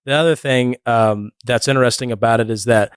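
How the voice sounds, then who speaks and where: A man with a smooth, resonant voice at a middle pitch talks with a conversational looseness, and the sound is close and dry. He asks guests questions and sums up their points. He keeps the discussion moving. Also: fairly even intonation, a little fast, no room sound. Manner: conversational, leads with questions, recaps others' points. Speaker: podcast host. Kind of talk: casual discussion.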